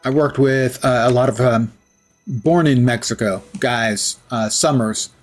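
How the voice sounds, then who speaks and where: Brief: casual male streamer, mid-pitched, wry self-mocking chatter, faint music behind. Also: a little slow. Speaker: livestreamer.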